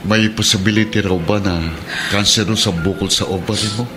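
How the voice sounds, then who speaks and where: A man's voice: on the low side, steady tone, normal speed, background noise, natural voice. A man playing a troubled, earnest policeman husband with a Filipino accent in a radio drama.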